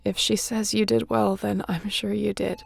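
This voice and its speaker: A woman playing a wounded starship captain in a sci-fi audio roleplay, wry and caring, speaking haltingly and softly with a breathy, gravelly voice. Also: medium pitch, little pitch movement. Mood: teasing. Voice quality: gravelly, often breathy and whispery.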